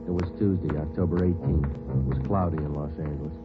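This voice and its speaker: An actor playing a terse, deadpan police sergeant who talks in clipped, matter-of-fact lines, in 1940s radio acting. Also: male voice, rumbling low, fairly quick, over music. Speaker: homicide detective sergeant and narrator. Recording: tinny hissy old radio broadcast.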